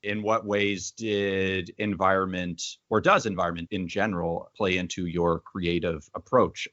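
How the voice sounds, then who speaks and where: A man's voice: deep voice, steady tone, measured pace, fairly bright tone, no room sound. A man acting as interviewer, speaking in the composed phrasing of prepared questions. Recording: dull, lo-fi audio.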